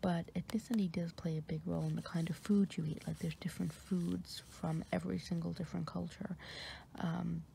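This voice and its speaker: A woman from New York with an American accent, softly whispering an ASMR ramble with many fillers and pauses. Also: flat delivery, vocal fry, a little hiss or hum. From New York, USA. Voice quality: deep voice for a woman. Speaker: ASMR creator.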